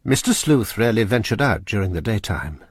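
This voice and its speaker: Low, husky drama narrator. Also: male voice, sing-song, dry recording, studio quality. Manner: measured and suspenseful. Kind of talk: scripted radio drama narration.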